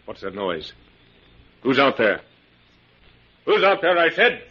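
Dull vintage radio sound, murderous mad scientist, commanding, highly animated intonation, theatrical 1940s delivery. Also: male voice, slow, exaggerated voice. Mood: suspicious, commanding. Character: mad, murderous professor, imperious villain commanding robots. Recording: muffled, noisy 1940s radio recording.

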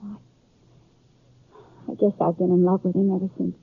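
An American actress playing an earnest, flustered young frontier bride-to-be in a 1950s radio western, with a hesitant, emotional delivery. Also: female voice, lowish voice, flat delivery, normal speed, gravelly, breathy edge, no echo, exaggerated voice. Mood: earnest, apologetic. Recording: dry, narrow-band 1950s radio recording.

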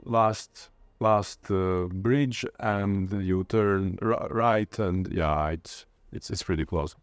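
A Latvian man with a low voice answers in an interview. He speaks conversationally in accented English, hesitating and restarting as he looks for words. The sound is dry, with little room in it. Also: takes it slowly, no room sound. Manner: hesitant, searching for words with repeated starts. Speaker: interviewee, literature lecturer.

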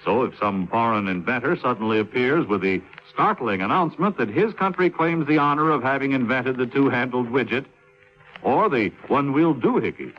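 A male radio announcer reading a scripted 1950s public-information piece in a measured, explanatory way. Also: mid-range voice. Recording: dull 1950s radio recording, faint music.

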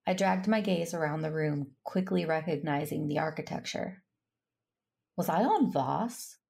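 Female actor low gravelly voice, composed, diplomatic, wary space knight, first-person drama narration. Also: unhurried, a little mellow, heavily acted. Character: composed, diplomatic woman Jedi master, wary.

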